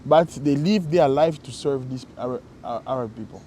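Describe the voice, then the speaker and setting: Mellow-voiced man, reflective and halting. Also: medium pitch, a little hiss or hum. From Congo. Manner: reflective, halting with repeated restarts. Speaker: co-host. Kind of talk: casual conversation.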